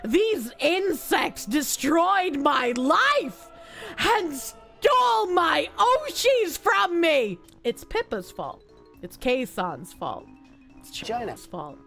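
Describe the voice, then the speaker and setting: A woman voicing an obsessed, self-pitying man in a theatrical, anguished dramatic reading that breaks into shouting. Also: high-pitched, sing-song, takes it slowly, gravelly, faint music behind, heavily acted. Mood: bitter, wounded. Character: ranting, self-pitying, obsessed man blaming everyone, melodramatic villain. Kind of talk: dramatic reading of social media posts.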